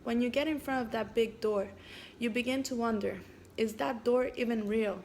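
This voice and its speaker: A woman raised in Canada whose first language is French, introducing and then reading aloud her own story in a hesitant way, with frequent pauses and fillers. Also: on the high side, a little mellow, a little hiss or hum.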